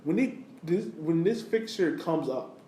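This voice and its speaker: A man's voice: on the high side, animated, a little slow, mellow. A man co-hosting a podcast, chatting casually about sports. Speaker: podcast host. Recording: roomy echo, background noise.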